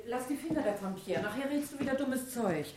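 A woman's voice: sits high, expressive, a little fast, background noise. Echoey, nagging farm woman. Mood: scolding. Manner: scolding, brusque. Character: nagging, brusque farm woman busy with cooking. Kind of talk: radio drama acting.

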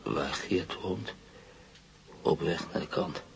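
A troubled man reliving wartime memories in a radio play. His voice is very deep and creaky, and he speaks slowly in brooding, broken fragments, over faint hiss. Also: full character voice. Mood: brooding, haunted. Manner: halting, brooding delivery in short fragments. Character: troubled male patient reliving frightening wartime memories under sedation. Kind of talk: Dutch-language radio play acting.

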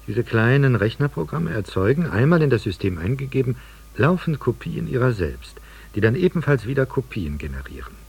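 A man reading a formal radio script in a measured, articulate manner. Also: medium pitch, very animated, creaky, a little mellow, husky. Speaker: radio speaker.

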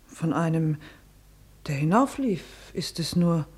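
Wary, low-voiced sister recounting terror. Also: female voice, expressive, a little mellow, husky, heavily acted. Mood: guarded. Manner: declamatory, measured verse delivery. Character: wary, fearful working-class sister recalling wartime terror. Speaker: actor and verse narrator. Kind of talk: rhymed theatrical radio drama.

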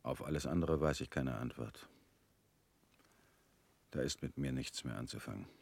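An actor in a radio drama playing a weary middle-aged family man, guarded and resigned, talking in flat, curt lines that often sink quiet. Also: rumbling low, normal speed, vocal fry, exaggerated voice.

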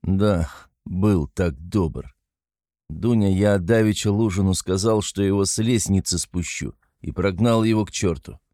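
A man's voice: low-pitched, a little slow, mellow, no echo, studio quality. A man voicing a feverish, irritable young man in an audiobook, a proud and domineering brother who speaks in a strained, curt, imperious way.

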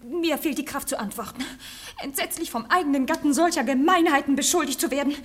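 A woman's voice: high-pitched, brisk, fairly bright tone. A woman playing a young noble wife feigning wounded innocence in a radio comedy, melodramatic, sighing and affected. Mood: wounded, martyred. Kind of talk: German radio play acting of classic comedy.

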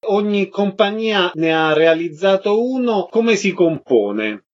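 A man's voice: high voice, lively intonation, takes it slowly, muffled recording. A man hosting a radio interview, introducing guests and putting questions to them.

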